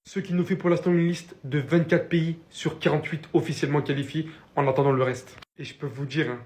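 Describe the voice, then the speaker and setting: Higher-voiced man running through football results. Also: fairly quick. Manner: lively, addresses viewers as "les gars". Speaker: football reaction creator. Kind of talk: casual football rundown.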